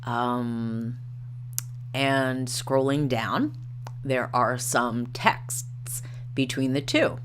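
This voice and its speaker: A woman's voice: extremely low pitch, animated, very slow, slight background noise. A woman hosting a livestream, chatting casually and reading articles aloud with frequent ums and uhs. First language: not Norwegian. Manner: hesitant, many ums and uhs, reads quotes aloud. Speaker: livestream host.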